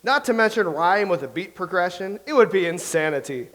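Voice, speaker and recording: Boastful, rambling young playwright, slightly high voice, as an actor plays him. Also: male voice, expressive, fairly bright tone. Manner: rambling, recites verse slowly. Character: chatty boastful young playwright from old England. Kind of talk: staged play reading.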